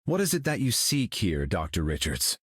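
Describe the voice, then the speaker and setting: An actor voicing a serene, solemn golden cosmic hero for a video game, speaking in a calm, measured, grave way. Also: male voice, lowish voice, husky, no room sound, full character voice.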